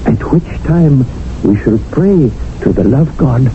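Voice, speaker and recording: A sly servant narrator, ornate, lively swooping voice, slow and unhurried, tinny old radio sound with music. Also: male voice, mid-range voice, slight background noise. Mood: wry. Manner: sly, ornate, theatrical delivery. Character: wry scheming male household servant narrator. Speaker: narrator and manservant. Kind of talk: 1970s radio drama acting.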